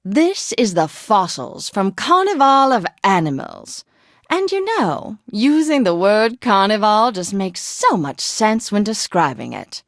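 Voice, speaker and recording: An actress playing a posh, flamboyant, tipsy classical radio DJ in a video game, with a gushing, affected, genteel manner. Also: female voice, medium pitch, very animated, unhurried, dry recording, studio quality. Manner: theatrical, affected, genteel and effusive.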